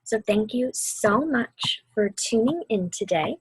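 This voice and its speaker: A woman with a soft, breathy voice gently guiding children through a mindfulness meditation, soothing and deliberate. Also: fairly high pitch, animated, dry recording, low-quality recording. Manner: soothing, gentle, deliberate, often dropping to a near-whisper. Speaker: mindfulness instructor and host of a weekly video series.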